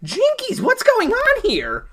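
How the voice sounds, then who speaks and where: A woman's voice: very high-pitched, wildly swooping pitch, slow pace, background noise, pitched above the performer's own voice, heavily acted. A woman voicing a brainy young woman sleuth, alarmed but plucky, in a comedic cartoon-parody roleplay. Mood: startled.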